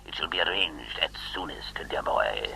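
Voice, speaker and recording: Pompous crime boss, sharp and forceful. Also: male voice, rumbling low, expressive, normal speed, vocal fry, a little hiss or hum, full character voice, low-quality recording. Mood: dryly obliging. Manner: florid, pompous phrasing. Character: pompous, sardonic, fat criminal employer, wheedling and mannered. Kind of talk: radio drama acting.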